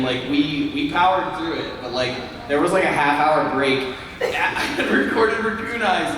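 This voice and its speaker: A man on a convention panel, telling rambling behind-the-scenes stories full of fillers, recorded from far off in an echoey, noisy hall. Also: sits high. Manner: rambling, filler-heavy storytelling. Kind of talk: casual anecdote telling. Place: large convention panel room.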